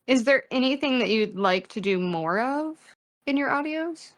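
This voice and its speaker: A woman's voice: sits high, expressive, moderate pace. A woman hosting a podcast, asking questions in a casual, playful way, teasing her guest and laughing often. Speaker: podcast host. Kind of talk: casual interview chat.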